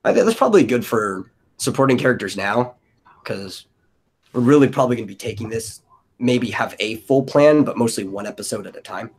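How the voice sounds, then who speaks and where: A man's voice: medium pitch, animated, fairly quick, husky. A man hosting a creative stream, chatting casually and informally as he thinks aloud. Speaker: host of an audio drama brainstorming stream. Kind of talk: casual group brainstorming chat.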